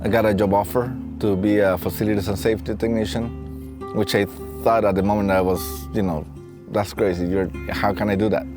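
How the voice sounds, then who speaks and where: A man in his late thirties with a Mexican accent, telling his own story in a halting, reflective way. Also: lowish voice, little pitch movement. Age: late 30s. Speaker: facilities and safety technician and staff trainer. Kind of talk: reflective personal storytelling for a training film. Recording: soft plucked guitar music behind. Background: guitar music.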